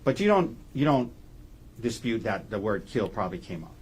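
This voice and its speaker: A man speaking as an attorney in courtroom questioning, putting halting, leading questions and checking each with a short tag. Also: lowish voice, very animated, a little hiss or hum, rough recording. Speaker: defense attorney questioning a witness.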